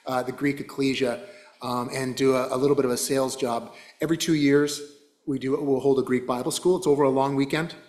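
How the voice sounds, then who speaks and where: A man giving an informal slide talk to a church class, chatty, with many fillers and restarts. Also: medium pitch. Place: church hall.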